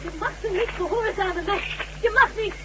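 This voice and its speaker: Hoge, dringende vrouwelijke bondgenoot. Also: female voice, very high voice, fairly quick. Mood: urgent pleading. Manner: pleading, insistent, dramatic. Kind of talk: Dutch science fiction radio drama acting. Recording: noisy old radio drama recording.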